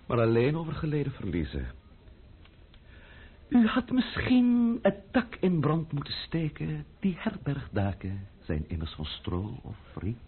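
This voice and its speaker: A menacing, sarcastic French revolutionary official in a radio play. His pitch leaps dramatically and his voice is rough-edged, with sneering politeness. The recording is muffled and old. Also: heavily acted. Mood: sarcastic reproach. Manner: sneering, mock-polite, drawn-out, bursting into shouts.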